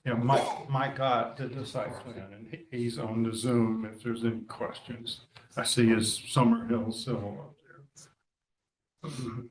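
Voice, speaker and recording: A man in his eighties with a scratchy voice, presenting an architect's plans at a town meeting, measured and detailed with many fillers. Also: medium pitch, fairly even intonation. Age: 80s. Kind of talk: formal presentation at a public meeting. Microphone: table microphone. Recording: echoey meeting room.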